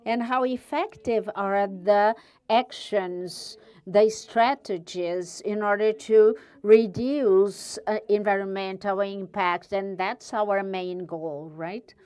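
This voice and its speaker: Woman, European Portuguese accent, researcher at a conference podium, smooth voice, unhurried delivery. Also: mid-range voice, fairly even intonation, a little mellow. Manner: careful, searching for words. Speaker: guest speaker, university researcher. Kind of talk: conference presentation.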